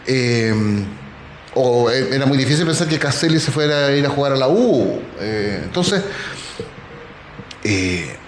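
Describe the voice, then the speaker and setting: A man's voice: medium pitch, lively intonation, measured pace, pauses often. A man hosting a casual football talk, with many fillers. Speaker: podcast host. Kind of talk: casual chat. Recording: echoey room with faint noise.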